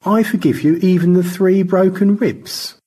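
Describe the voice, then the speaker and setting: A man voicing a languid, pompous gentleman space adventurer in an audio story, with florid, airily unflappable delivery. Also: high voice, a little slow, slightly rough, pitched above the performer's own voice. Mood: grandly magnanimous.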